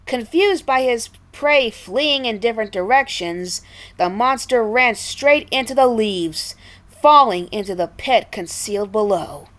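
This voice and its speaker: Female storyteller for children, slightly high voice, slow expressive delivery.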